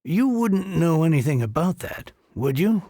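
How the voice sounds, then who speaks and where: A man voicing a flustered, downtrodden small-time merchant in a video game, stammering and excitable. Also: fairly high pitch, normal speed, dry recording. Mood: wary.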